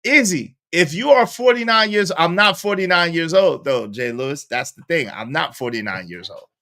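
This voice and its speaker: Bright-voiced slangy man in his forties. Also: a little high, expressive, normal speed. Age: 40s. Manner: slangy, repetitive, reads viewer comments aloud. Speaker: live-stream host. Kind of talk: casual live-stream commentary reading chat.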